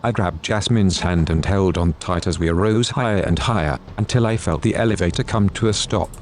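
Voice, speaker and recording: A man's voice: low-pitched, fairly quick, slight background noise, natural voice. A man playing a grim, determined armed adventurer who narrates his own story in a solemn, dramatic audio drama style. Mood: tense, measured. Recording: loud music over the voice.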